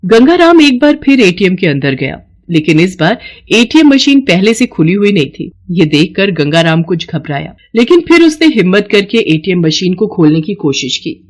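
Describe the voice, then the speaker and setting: A woman narrating a scripted children's story in an expressive storytelling manner. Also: fairly low pitch, normal speed, hardly any pauses.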